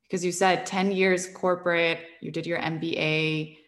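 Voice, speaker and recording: A woman's voice: lowish voice, flat delivery, normal speed, a bit bright. A woman hosting an interview podcast, asking questions in a friendly, encouraging way. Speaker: host. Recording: faint music behind.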